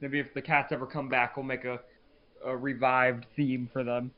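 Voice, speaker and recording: A man's voice: medium pitch, level tone, normal speed. A man co-hosting a podcast, trading casual banter and stating blunt, emphatic opinions. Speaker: podcast co-host. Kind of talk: casual banter about a manga story. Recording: dull, lo-fi call audio.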